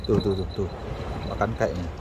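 A man's voice: low-pitched, fairly even intonation, moderate pace, noisy background. A man chatting casually and informally to his viewers as a fishing vlogger. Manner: informal, addresses viewers as guys. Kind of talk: casual vlog chat to viewers.

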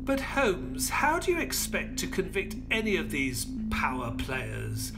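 A man's voice: a little high, wildly swooping pitch, a little slow, vocal fry, over music, heavily acted. Male actor as loyal detective's companion. Inquisitive, doubting, earnest, very varied intonation, audio drama with underscore. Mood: questioning.

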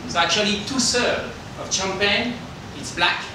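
Middle-aged male winemaker, French accent, slightly high voice, halting English, informal presentation picked up from a distance. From France. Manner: halting non-native English with simple phrasing. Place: indoor hotel function room. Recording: roomy, distant microphone with background noise.

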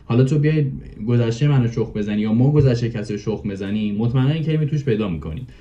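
Very dark-voiced young man, slightly muffled. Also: medium pitch, animated. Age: 20s. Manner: explanatory, colloquial, repeats for emphasis. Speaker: podcast guest. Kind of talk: casual chat. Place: living room, indoors. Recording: dull, muffled video call audio.

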